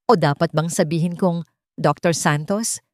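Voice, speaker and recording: Cold businessman dad for an audio love story, read by a woman: lowish pitch, curt, insistent. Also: unhurried, a little mellow, dry recording, clean studio sound, lightly acted. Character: cold, impatient wealthy businessman father, pleading and commanding. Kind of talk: dramatic romance audio story.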